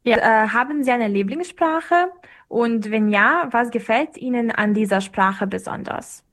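A woman's voice: fairly high pitch, a little slow, a bit bright. Young woman asking polite questions. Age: young adult. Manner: polite, with short fillers. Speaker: student interviewer. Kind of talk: reading interview questions.

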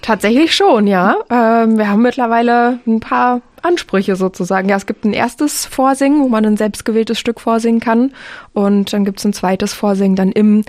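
A woman's voice: fairly high pitch, moderate pace, darkish tone, no room sound. A woman, a choir member, explaining things casually as an interview guest. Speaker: choir singer, radio guest.

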